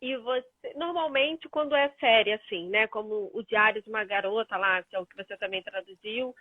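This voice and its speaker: A woman's voice: medium pitch, moderate pace, no echo. A woman moderating a live video interview, asking questions casually while searching for words. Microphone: phone audio on a video call. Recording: dry, tinny phone-like audio.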